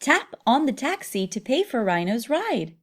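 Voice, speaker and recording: A woman's voice: a little high, very animated, unhurried, heavy background noise. A woman narrating a children's read-along story, with music behind her.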